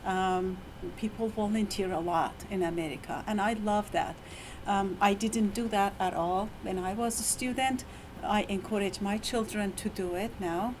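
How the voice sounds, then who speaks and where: A middle-aged woman with an Iranian accent gives a talk to fellow faculty in a university room, her voice tired after a long lecture. She speaks haltingly, with frequent fillers and restarts, at an ordinary pitch for a woman. Faint background noise sits under the recording. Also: steady tone. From Iran. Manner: hesitant, many fillers and restarts, laughs often.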